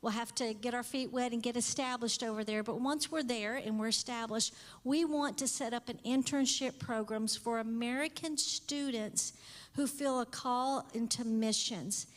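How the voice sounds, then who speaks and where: A woman's voice: a little high, moderate pace. A woman in her fifties giving an earnest missions presentation to a church. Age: 50s. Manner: earnest, explanatory, steady. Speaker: missionary speaker. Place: church sanctuary. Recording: long church hall reverb.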